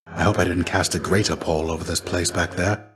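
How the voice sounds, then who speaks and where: A man playing a fatherly, weary shade of a fallen warrior in a video game, speaking in measured, formal, gently archaic phrases. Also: low voice, steady tone, close to an everyday voice. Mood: sorrowful, regretful. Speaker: game character voice actor. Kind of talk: scripted video game dialogue.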